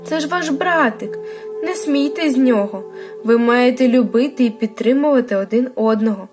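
Lady doing a sweet protective mama duck for a kids storybook, high tender voice, music behind. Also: moderate pace, natural voice. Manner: tender, pleading, earnest. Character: gentle protective mother duck, tender and patient. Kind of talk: children's storybook character voice.

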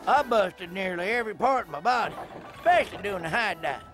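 An actor voicing a goofy, boastful cartoon tow truck with a folksy drawl and a Southern US accent. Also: male voice, high voice, expressive, fairly quick, over music. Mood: proud, excited. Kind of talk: animated cartoon storytelling.

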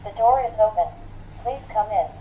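A woman's voice: medium pitch, normal speed, slight background noise. A woman's automated voice giving scripted intercom announcements through a small device speaker. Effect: played through a small device speaker. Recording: dull small-speaker playback, busy background sound.

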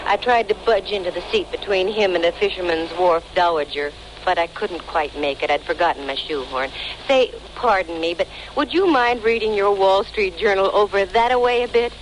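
A woman's voice: mid-range voice, moderate pace, close to an everyday voice. A noisy vintage radio recording carries a woman acting a sardonic American lady detective. She narrates her case in breezy, dry wisecracks, like a former model who has seen it all, in classic 1940s radio style. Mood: dry, wry. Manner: dry, wry, sardonic delivery. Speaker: lead actress and narrator.

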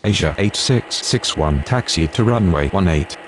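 A man's voice: low voice, lively intonation, normal speed. An actor playing a sober, procedural male pilot and controller in a game, speaking clipped aviation radio phrases. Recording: faint outdoor vehicle noise.

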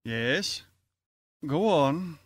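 Casting: actor as impatient college chairman, highish lively voice, brusque, radio comedy. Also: extremely slow, no room sound, studio quality, full character voice. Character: exasperated, tight-fisted college board chairman. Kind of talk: old-time radio comedy acting.

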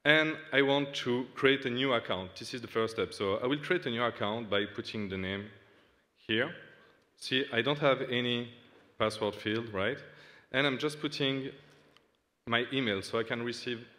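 Guy, halting demo talk, bright voice, big echoey hall. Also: medium pitch. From Switzerland. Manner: halting, earnest, many fillers. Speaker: startup founder demoing. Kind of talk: stage product demo. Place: large dark hall on stage. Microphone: stage mic at a lectern. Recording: long hall reverb.

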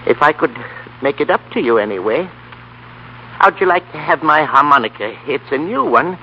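A man's voice: fairly high pitch, lively intonation, normal speed, highs cut off, slight background noise. An actor playing a kindly, plain-spoken old grandfather in folksy 1930s radio style. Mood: mild, apologetic. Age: older.

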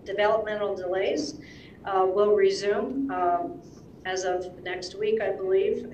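A woman who is the public health director, giving updates at a town hall with many pauses and fillers. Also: medium pitch, slight background noise. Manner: halting, many ums and uhs. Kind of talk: reading public announcements. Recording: echoey room, heard from far off.

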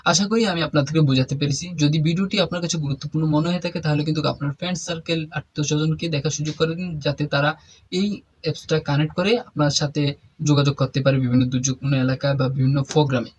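A man's voice: on the high side, fairly even intonation, rapid-fire, slightly rough, poor audio. A man hosting a step-by-step app tutorial, addressing viewers directly in an instructional, repetitive way. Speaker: tech tutorial host. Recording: faint background noise.

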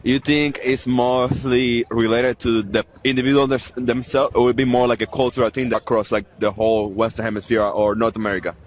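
A man interviewing passers-by on a city street, asking long, measured questions. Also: medium pitch, little pitch movement.

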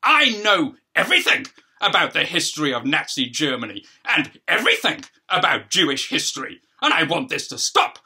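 A man reads the part of an imperious tribunal judge in a stage play. His voice is husky and bright, with a very lively pitch, and he gives stern, impatient orders. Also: moderate pace, hardly any pauses, full character voice. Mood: angry. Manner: stern, bossy, clipped commands.

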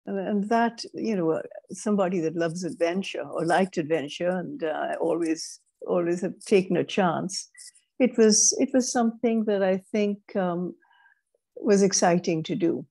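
An older woman from Grenada with a British-Caribbean accent, telling her life story in a measured, thoughtful way with frequent restarts, on a video call through earbuds. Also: fairly low pitch, gravelly, dark tone. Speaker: guest speaker. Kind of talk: reflective interview storytelling. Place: home room, indoors. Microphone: wired earbuds on a video call.

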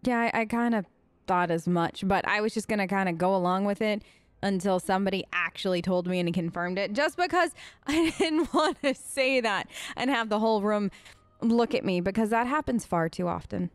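A woman's voice: medium pitch, normal speed, clean tone, no echo. A woman hosting a role-play let's play, talking casually and informally as she improvises in character.

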